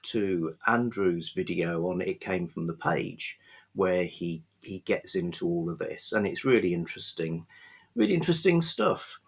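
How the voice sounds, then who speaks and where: A man hosting a book vlog, chatting casually without a script in a rambling, halting way with pauses mid-phrase. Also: low-pitched. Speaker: host of a book review vlog. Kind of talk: casual unscripted book chat.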